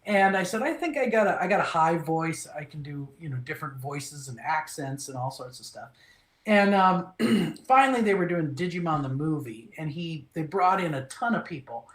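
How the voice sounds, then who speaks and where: A man's voice: fairly high pitch, moderate pace. Echoey middle-aged man telling his story. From Minnesota. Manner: animated, restarts phrases, acts out quoted lines. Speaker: voice actor, interview guest. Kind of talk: relaxed storytelling. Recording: echoey room.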